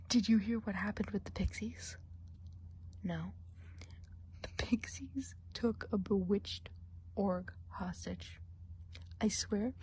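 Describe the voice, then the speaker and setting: A woman's voice: mid-range voice, gravelly, slight background noise, natural voice. A woman playing a gossipy female forest witch in an ASMR roleplay, whispering and murmuring as she chats on. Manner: gossipy, mixes soft speech and whispers.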